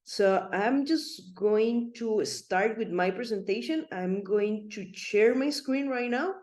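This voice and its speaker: A woman's voice: fairly high pitch, slow pace, a little mellow, roomy sound. A middle-aged woman from Rica, giving an online presentation in a friendly, rambling way with many fillers and restarts. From Costa Rica. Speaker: web3 consultant presenter.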